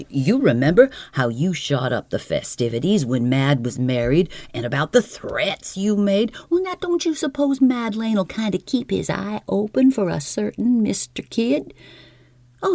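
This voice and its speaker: A woman voicing a folksy male outlaw sidekick who scolds his partner, talking fast. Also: mid-range voice, sing-song, a little mellow, dry recording, pitched above the performer's own voice. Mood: exasperated.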